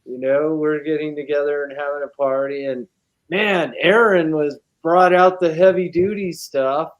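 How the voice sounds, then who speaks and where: A man's voice: on the high side, steady tone, normal speed, low-quality recording. A man guest chatting casually, telling stories in an easygoing, rambling way with many fillers. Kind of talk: casual chat.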